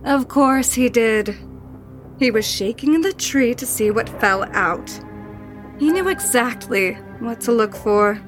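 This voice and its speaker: A woman's voice: a little high, expressive, takes it slowly, over music. A woman playing a seductive, playfully cruel female spirit in an audio horror drama, teasing and sinister, sometimes dropping toward a whisper. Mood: scornful, commanding.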